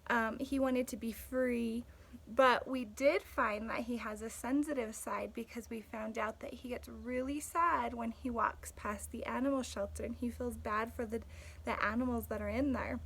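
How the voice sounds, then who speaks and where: A woman teacher reading aloud to children, pausing to explain the story and ask questions. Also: a little high, fairly even intonation, vocal fry, fairly bright tone, slightly rough. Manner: explanatory, checks understanding with questions.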